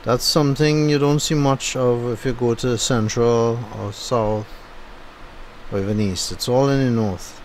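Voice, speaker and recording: A man with a Trinidadian accent giving a low-key, unenthusiastic running commentary while driving. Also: fairly even intonation, a little slow, a little hiss or hum. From Trinidad and Tobago. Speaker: driving vlogger. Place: inside a moving car.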